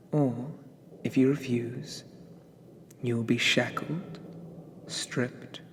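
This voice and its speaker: A man voicing a sadistic, condescending dark elf captor in a breathy half-whisper, deliberate and softly menacing, for an ASMR roleplay. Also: mid-range voice, slight background noise. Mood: cold menace.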